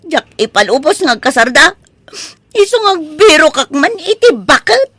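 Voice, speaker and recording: A woman's voice: high voice, big pitch swings, normal speed, sharp tone, no room sound, clean studio sound, full character voice. A woman playing a stubborn, whining, jealous grown daughter in a comedy audio drama, speaking emphatically with an Ilocano accent. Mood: sulky plotting. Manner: emphatic, complaining, indignant.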